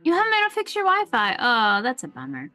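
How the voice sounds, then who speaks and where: A woman's voice: a little high, huge pitch swings, fast, bright, forceful tone. A woman chatting casually and playfully as she streams a game, with a teasing manner. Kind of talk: casual livestream chat.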